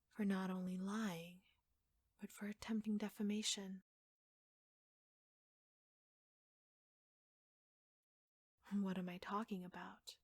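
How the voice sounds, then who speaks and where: A woman playing an obsessive, jealous secretary in an ASMR audio roleplay, soft-spoken and often slipping into breathy whispers. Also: mid-range voice, no echo, studio quality, close to an everyday voice. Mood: cold menace. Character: obsessive yandere secretary, devoted, jealous, coldly menacing woman.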